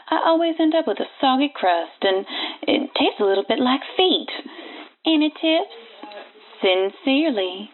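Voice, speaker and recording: A woman's voice: mid-range voice, lightly acted. Phone-like earnest ghoulish letter writer, a woman acting. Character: ghoulish home cook writing earnestly to advice column. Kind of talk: comic letter reading in radio play. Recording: thin phone-like sound.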